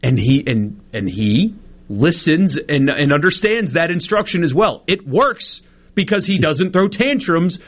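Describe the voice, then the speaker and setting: A man co-hosting a sports talk radio show, explaining things in a measured way. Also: a little high, big pitch swings. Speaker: radio co-host. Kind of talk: casual talk radio chat.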